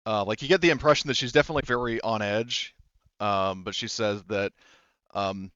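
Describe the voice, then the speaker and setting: Guy game mastering a tabletop rpg, lowish clean voice, dry kinda muffled mic. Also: a little fast, a bit bright, clean tone, no room sound. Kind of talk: improvised tabletop game narration.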